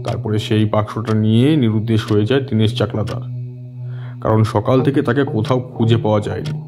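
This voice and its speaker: A man's voice: lowish voice, steady tone, brisk, over music, lightly acted. An actor playing a matter-of-fact male police officer in an audio drama, methodical and explanatory. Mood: reporting.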